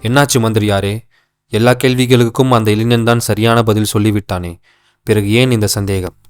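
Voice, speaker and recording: A man's voice: on the low side, fairly even intonation, fairly quick, smooth, dry recording, lightly acted. An actor voicing a trusting old king in a cartoon, speaking in a regal, formal way. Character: trusting elderly male king. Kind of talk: cartoon voice acting.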